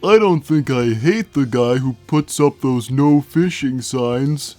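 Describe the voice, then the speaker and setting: Gentle oversized male cat man acting, mild and simple, soft dark timbre, fan animation. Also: medium pitch, slow, faint music behind, lightly acted. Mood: mildly disappointed. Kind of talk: fan-made cartoon dub.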